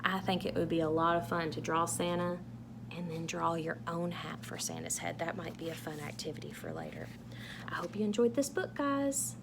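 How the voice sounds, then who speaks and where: A woman's voice: fairly low pitch, very animated, moderate pace. A woman reading a picture book aloud to children, with casual asides and questions to her listeners. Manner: engaging, asks the listeners questions. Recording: clear background noise.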